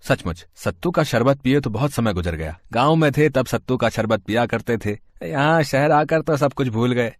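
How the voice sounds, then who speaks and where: A man's voice: medium pitch, lively intonation, moderate pace, hardly any pauses, lightly acted. A man playing a kindly, practical family friend in a moral story, speaking reassuringly. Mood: nostalgic. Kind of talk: Hindi moral story voice acting.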